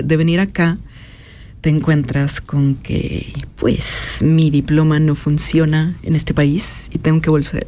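A woman, talking thoughtfully as an interview guest and pausing often with filler sounds. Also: low voice, warm tone. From Mexico. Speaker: interview guest, artist and educator. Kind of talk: personal reflective conversation.

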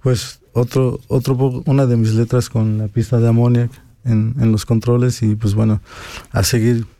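Dark-toned Mexican man, close and dry. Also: medium pitch, level tone, normal speed, no room sound. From Pachuca, Mexico. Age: 40s. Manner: often ends phrases with a tag question. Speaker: guest rapper. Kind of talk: casual interview talk.

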